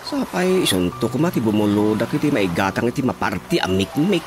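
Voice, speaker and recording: A man's voice: lowish voice, sing-song, brisk, music in the background. A man with an Ilocano accent playing an eager, boastful village councilman in a radio drama comedy.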